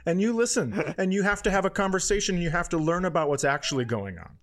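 A male comedian chatting on a podcast in a voice a little higher than most men's. He is casual and opinionated, sliding into sweary rants as he talks. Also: expressive, quick pace, a bit bright. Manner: profane, opinionated ranting.

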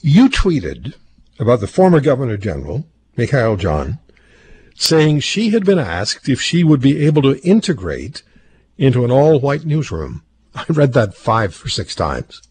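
A man's voice: mid-range voice, huge pitch swings, a little slow, darkish tone, slightly rough, dry recording. A man hosting a radio talk show, interviewing a guest conversationally with short affirming responses. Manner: conversational, affirming with brief acknowledgments.

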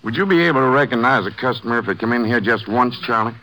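Bright-voiced actor as a folksy American sheriff. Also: male voice, mid-range voice, fast, close to an everyday voice. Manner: folksy, drawling, neighborly. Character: folksy, friendly small-town Texas sheriff. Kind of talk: 1950s radio western acting. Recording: noisy old radio recording, clicks.

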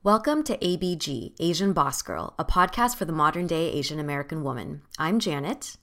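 A woman co-hosting a podcast, reading a guest's introduction in a bright, scripted way. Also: low voice, animated, brisk. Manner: bright, formal, scripted delivery. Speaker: podcast co-host.

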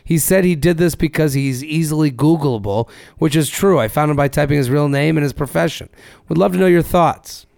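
Male podcast co-host, raspy and lively, swears casually, dry studio sound. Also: fairly high pitch, brisk, no room sound. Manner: animated, swears casually, reads listener emails aloud. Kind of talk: casual chat.

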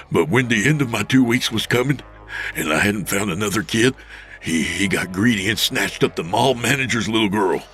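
A man voicing a frightened lowlife who stammers and whines. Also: pushed and bright. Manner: stammering, whining. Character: scared whiny adult male lowlife.

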